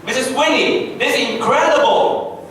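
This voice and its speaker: A man's voice: extremely high pitch, moderate pace, a bit bright. A male reader playing an earnest schoolboy in an amateur reader's theatre performance. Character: Mark, earnest schoolboy standing up to bullies. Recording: very echoey room, distant mic, faint noise.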